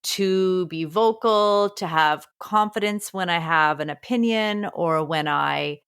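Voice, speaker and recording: Low-voiced woman teacher thinking aloud. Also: takes it slowly, a bit bright, clear, resonant tone. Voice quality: strong voice. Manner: thinks aloud with restarts, earnest. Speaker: podcast co-host. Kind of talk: reflective casual conversation.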